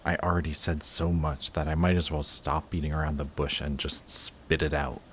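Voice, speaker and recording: A man playing a guarded villain in love with a rival, in an intimate audio roleplay with halting, emotional delivery. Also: rumbling low, little pitch movement, a little hiss or hum. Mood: tender confession. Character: lovestruck male villain, guarded, flustered, confessing love to rival.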